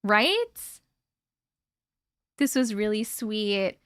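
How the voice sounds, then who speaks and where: A woman's voice: mid-range voice, very slow, fairly bright tone, smooth, no room sound, studio quality. A woman hosting a live stream from home, chatting effusively with viewers and reading their stories aloud. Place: at home with her cat.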